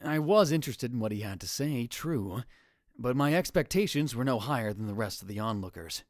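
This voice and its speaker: Man voicing theatrical young anime mad scientist, swooping pitch. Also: expressive, fairly quick, dry recording, studio quality, close to an everyday voice. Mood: brooding. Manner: dramatic, grandiose outbursts. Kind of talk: anime visual novel fan dub, first-person narration.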